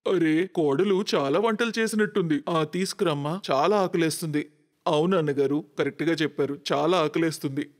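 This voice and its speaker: An expectant, hungry uncle from a storybook, played by a man, talks. His voice is fairly high, with a long echo. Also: moderate pace, close to an everyday voice. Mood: hungry anticipation. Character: hungry, expectant elder male relatives at dinner. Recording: long reverberant hall echo.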